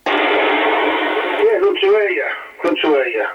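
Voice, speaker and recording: A man's voice: a little high, very animated, slow pace, over loud music. An English man chatting casually as a radio contact, his voice coming through a CB transmission. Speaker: radio contact on the other end. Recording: muffled, very noisy CB radio, distant.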